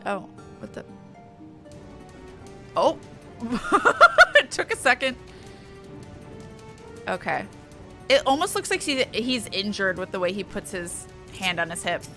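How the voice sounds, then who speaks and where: A woman streamer commenting casually on her game. Her voice is bright and mid-pitched, her way of talking playful and irreverent. Faint music plays behind her. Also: very animated, slow, long pauses.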